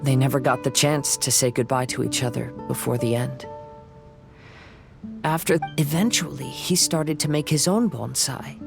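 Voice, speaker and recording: An actor playing a young wandering samurai, gentle and reflective, speaking softly in measured game dialogue. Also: male voice, mid-range voice, gravelly, music in the background, close to an everyday voice. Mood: wistful, solemn. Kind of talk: dramatic game dialogue and narration.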